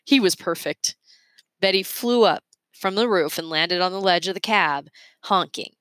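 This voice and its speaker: Storybook narrator, woman, slightly low register, reading aloud in a dry acoustic. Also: moderate pace, a bit bright, no room sound. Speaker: storybook reader.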